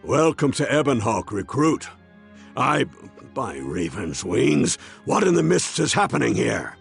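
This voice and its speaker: Gravelly, animated war master. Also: male voice, medium pitch, a little slow, soft background music, exaggerated voice. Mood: startled. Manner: bold, blunt, martial. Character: gruff, battle-hardened war master officer. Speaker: military officer. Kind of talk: video game cutscene acting.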